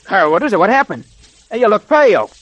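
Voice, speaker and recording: An actor playing a cowed, excitable henchman, whining and slangy, in a 1930s radio drama. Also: high-pitched, fast, dry recording, highs cut off, full character voice. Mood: concerned. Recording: vintage 1930s radio recording.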